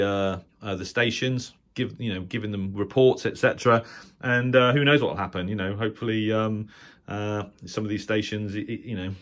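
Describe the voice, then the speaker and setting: A British man giving informal, unscripted commentary as a radio hobbyist, hesitant and rambling, often correcting himself. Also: fairly low pitch. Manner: hesitant, halting, rambling delivery with self-corrections. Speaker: shortwave radio hobbyist presenter.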